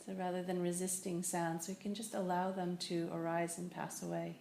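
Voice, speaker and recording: A woman teaching a guided meditation, speaking in a gentle, unhurried way with long pauses. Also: fairly low pitch, level tone, gravelly. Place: indoor room in a building. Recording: echoey room with faint noise.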